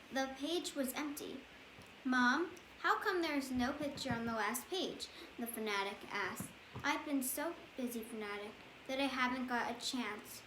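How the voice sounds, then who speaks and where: A woman with a high-pitched voice reads a children's bedtime story aloud. She goes haltingly, now and then correcting a word. The room is echoey, with faint noise behind her. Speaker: storybook reader.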